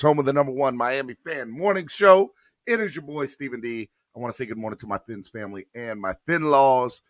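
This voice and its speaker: A man hosting a live morning sports show, his voice a little hoarse but bright and forward. He talks upbeat and straight to his viewers. The sound is close and dry, with no room around it. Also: mid-range voice, dry recording. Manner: upbeat, addresses viewers directly. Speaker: podcast host.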